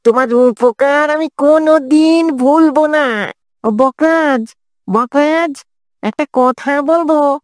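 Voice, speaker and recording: A woman voicing a sly, greedy fox in a children's cartoon, wheedling and scheming in a flattering way. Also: high voice, takes it slowly, dry recording, clean studio sound.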